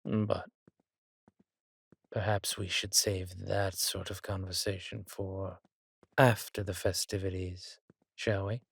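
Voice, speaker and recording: A man voicing a suave fantasy king in a villain roleplay audio drama, speaking with smooth, measured and quietly threatening courtesy. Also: low-pitched, level tone, no echo, heavily acted. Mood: soft, reassuring. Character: suave menacing male fantasy king, softly imposing.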